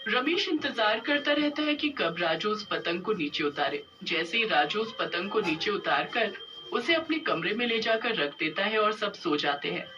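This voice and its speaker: A woman reading scripted narration for an animated story. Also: mid-range voice, recorded from a distance, lo-fi sound. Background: music.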